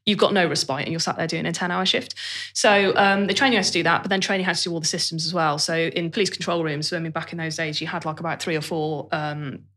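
Woman, British accent, slightly low voice, candid, colloquial, self-deprecating, conversational interview guest. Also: a bit bright. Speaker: interview guest, business owner. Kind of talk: conversational personal career story.

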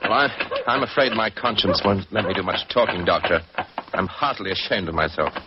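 A man playing a nervous, apologetic gentleman in a 1940s radio mystery, with a hesitant, stammering manner. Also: lowish voice, brisk, close to an everyday voice. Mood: ashamed, grateful. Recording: muffled, noisy 1940s radio broadcast with clicks.

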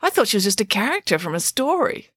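Woman playing an earnest, raised-pitch schoolgirl. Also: sing-song, fast talker, fairly bright tone, no room sound, studio quality. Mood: puzzled, earnest. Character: earnest, matter-of-fact schoolgirl.

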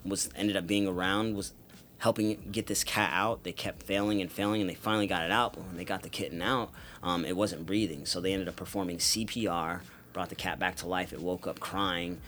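A man co-hosting a casual podcast, laid-back, often pausing with "um" and laughing. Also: low voice, fairly bright tone, a little hiss or hum. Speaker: podcast co-host.

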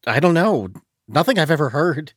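Audiobook role: man as scared young woodsman, highish husky voice, hesitant and earnest. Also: sing-song, moderate pace, no echo, clean studio sound, exaggerated voice. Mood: uneasy, groping. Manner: hesitant, anxious.

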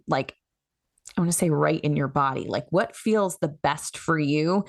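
A woman's voice: low voice, no echo, clean studio sound. A woman who works as a human design coach, explaining her methods as a podcast guest in a coaching tone. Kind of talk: podcast interview.

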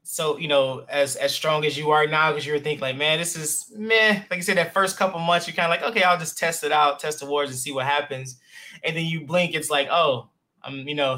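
A man's voice: fairly high pitch, pushed and bright. A man hosting a podcast, upbeat and friendly, calling his guest man and brother. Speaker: podcast host. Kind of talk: casual interview hosting.

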